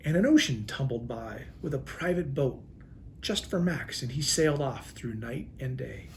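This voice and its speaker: A man's voice: mid-range voice, expressive, moderate pace, creaky, darkish tone, a little hiss or hum. An American man, a police chief, reads a children's picture book aloud expressively, with casual asides.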